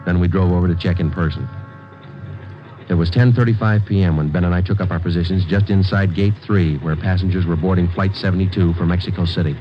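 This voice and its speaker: Man playing stoic police sergeant, very low voice, American, clipped deadpan narration, muffled 1940s radio drama. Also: fast talker, natural voice. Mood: matter-of-fact. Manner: deadpan, terse. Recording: dull old radio recording with music.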